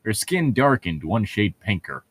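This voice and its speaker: A man's voice: lowish voice, big pitch swings, unhurried, fairly bright tone, slightly rough. A man co-hosting a comedic read-along, reading a book aloud with casual, irreverent, sweary banter.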